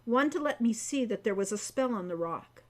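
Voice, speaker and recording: A woman voicing a self-assured, irritable teenage boy wizard in an audiobook, soft and matter-of-fact. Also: fairly high pitch, expressive, normal speed, close to an everyday voice. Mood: matter-of-fact, annoyed. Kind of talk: audiobook character voice.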